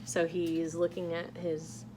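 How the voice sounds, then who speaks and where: Woman reading to children, clear background noise. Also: on the low side, completely flat delivery, slow. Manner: engaging, asks the listeners questions. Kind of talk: picture-book read-aloud with casual asides.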